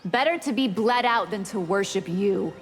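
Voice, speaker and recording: An actress playing a cold, disdainful, threatening vampire leader in a video game, speaking in an imperious, cutting manner. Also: female voice, medium pitch, animated, normal speed, big-room sound, faint music behind, lightly acted. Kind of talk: video game dramatic dialogue.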